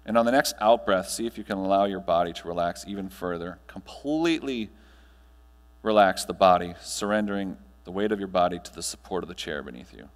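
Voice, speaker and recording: An American man in his thirties speaking as a trainer to an audience, guiding them in a measured, instructional way with deliberate pauses. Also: low voice, expressive, in a large hall. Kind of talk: guided breathing meditation and talk to an audience. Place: large hotel conference ballroom, on stage.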